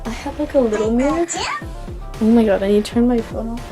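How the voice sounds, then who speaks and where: A woman's voice: a little high, quick pace, music in the background. Giggly young woman chatting to her phone. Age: young adult. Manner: chatty and giggly, many ums and likes. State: recovering from a cold. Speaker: vlogger. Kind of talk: casual vlog chat. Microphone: phone camera.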